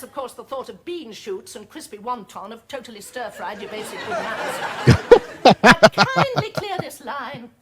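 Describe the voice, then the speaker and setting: A pompous suburban housewife insists on her own importance down the phone. Her voice sits high and swoops all over the place with indignation. Loud studio audience laughter rolls under this old TV recording. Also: background noise. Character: snobbish middle-aged English housewife on the phone.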